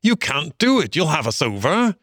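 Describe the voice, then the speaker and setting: A man voicing a capable, good-natured male water rat in a storybook, with a brisk, hearty, avuncular manner. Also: mid-range voice, expressive, dry recording, clean studio sound, voice pushed up, close to an everyday voice. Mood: alarmed, scolding. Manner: brisk, hearty, avuncular, old-fashioned British phrasing. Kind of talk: storybook character voice.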